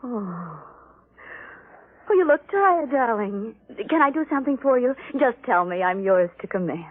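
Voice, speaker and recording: A woman's voice: fairly high pitch, sing-song, unhurried. A female actor playing a devoted, submissive wife, cooing and coaxing, in a 1950s radio drama on a muffled old recording. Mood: soothing, attentive. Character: soothing, devoted, submissive wife, sweetly attentive.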